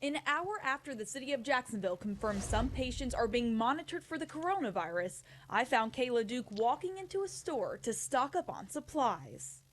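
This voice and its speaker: A woman's voice: a little high, sing-song, normal speed, hardly any pauses, slight background noise. A woman reporter narrating a scripted TV news report.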